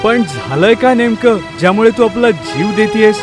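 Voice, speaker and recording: A man voicing a kindly, steady male vulture in an animal story, reassuring and encouraging. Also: sits high, normal speed, over music. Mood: consoling.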